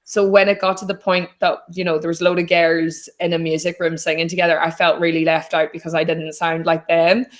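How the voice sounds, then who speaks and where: A young Irish woman from Donegal with a naturally deep voice, chatting as a singer-songwriter guest on a video call. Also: level tone, fairly quick, hardly any pauses. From Donegal, Ireland. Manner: chatty, rambling, self-deprecating. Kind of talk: casual chat.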